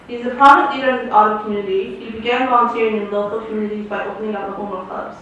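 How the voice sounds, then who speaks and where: A woman's voice: on the high side, steady tone, very fast, a little hiss or hum. A woman presenting an awards ceremony, reading a formal introduction of the honoree aloud. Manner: formal reading, loud announcing. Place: large conference hall. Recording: distant, echoey, dull hall sound.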